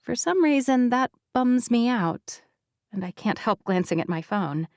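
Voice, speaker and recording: A woman narrating a first-person romance audiobook in a measured, conversational way. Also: a little high, animated, darkish tone, dry recording, clean studio sound.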